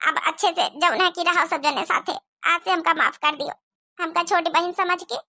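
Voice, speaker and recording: A woman's voice: very high-pitched, fairly even intonation, normal speed, gravelly, pushed and bright, heavily acted. A woman voicing an emotional young village daughter-in-law in a cartoon comedy, speaking with a rustic Awadhi accent. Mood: soothing, apologetic. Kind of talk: rural cartoon comedy dialogue.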